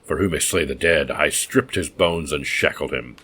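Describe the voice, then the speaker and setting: A crazed innkeeper, half beast, who rants and roars with mirthless laughter in a very deep voice, put on by a man reading the tale. The villain of a dark adventure story, menacing and unhinged. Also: normal speed, husky, heavily acted. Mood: manic menace. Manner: booming wild laughter and roars. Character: mad, roaring escaped-prisoner innkeeper man.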